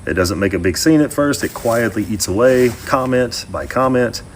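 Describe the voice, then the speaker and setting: A man delivering a scripted relationship advice monologue with slow, deliberate phrasing. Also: mid-range voice, lively intonation, a little hiss or hum. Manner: slow, deliberate, dramatic pauses.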